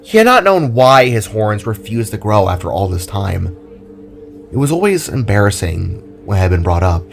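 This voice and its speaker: A man's voice: lowish voice, sing-song, moderate pace, creaky, faint music behind. A man reading scripted narration for an audio drama.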